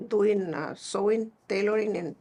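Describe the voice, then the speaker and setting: A middle-aged woman from Mexico with a northern Mexican Spanish accent, telling personal stories conversationally in a plain-spoken, halting way. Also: medium pitch, mellow, husky. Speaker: seamstress being interviewed.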